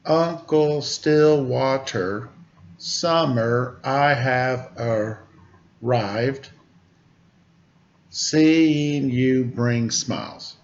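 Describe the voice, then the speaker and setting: A man voicing a polite little panda nephew in a storybook, speaking in deliberate, poem-like phrases. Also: medium pitch, muffled recording.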